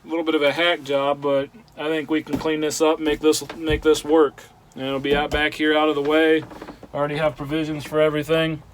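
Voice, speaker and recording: A man's voice: on the high side, level tone, fairly quick, slight background noise, rough recording. A man casually narrating a hands-on repair on his truck, thinking aloud with fillers as he works. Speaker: channel host. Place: truck cab and engine bay.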